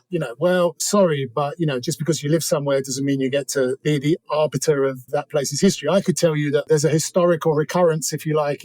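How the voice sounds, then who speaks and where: A middle-aged Englishman talks as an interview guest in a slightly high but dark, mellow voice. He is discursive, opinionated and wry, thinking through his analysis conversationally in a dry recording. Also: no echo. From England.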